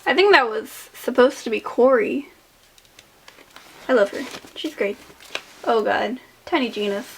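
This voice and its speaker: A young woman in her late teens speaks in a high voice with a noticeable lisp. She rambles casually through a voice-over about her artwork, offhand and self-deprecating. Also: expressive, a little slow, pauses often, a little hiss or hum. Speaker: artist showing old sketchbooks.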